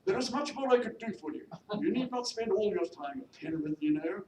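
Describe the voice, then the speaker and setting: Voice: medium pitch, expressive, brisk, darkish tone, pitched above the performer's own voice, natural voice. A reader voicing a haughty, peevish widow of the minor gentry who scolds in formal, bossy speech, as in a period novel. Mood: condescending. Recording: noisy echoey room, distant mic.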